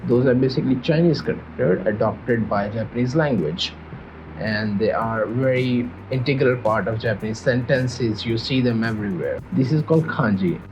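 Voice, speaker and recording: A man's voice: mid-range voice, normal speed, over music, low-quality recording. Earnest man teaching a beginners' lesson. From Pakistan. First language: Urdu and Punjabi. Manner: earnest, repeats himself, restarts phrases. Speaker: language learner teaching beginners. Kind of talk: casual video lesson.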